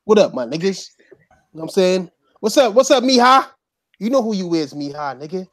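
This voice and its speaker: Brief: male podcast host, a touch high, animated, dry close mic, crude banter. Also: normal speed, no echo. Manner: loud, profane, joking banter. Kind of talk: casual group chat.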